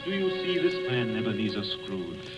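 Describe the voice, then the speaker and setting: An actor playing a grave, commanding spirit guide in a vintage radio drama, speaking in measured phrases. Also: male voice, on the low side, big pitch swings. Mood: solemn, stern. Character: solemn, relentless male ghostly spirit guide. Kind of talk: 1930s radio acting. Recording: muffled vintage radio broadcast, faint music.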